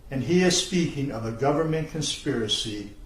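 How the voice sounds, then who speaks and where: A man delivering a scripted statement in a solemn, formal, measured manner, as a whistleblower. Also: medium pitch, animated. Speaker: self-described whistleblower. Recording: echoey room.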